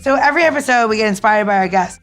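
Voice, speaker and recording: A woman's voice: a little high, rapid-fire. Playful woman co-host, faint music behind. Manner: playful, jokey, acts out quotes. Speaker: podcast co-host making cocktails. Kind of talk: casual podcast chat.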